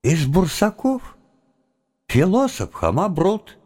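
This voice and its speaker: An actor playing a wily, evasive young philosopher student in a theatrical audio drama, with a folksy, deferential, drawling delivery. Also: male voice, high-pitched, sing-song, slow pace, a little mellow, full character voice. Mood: meekly compliant.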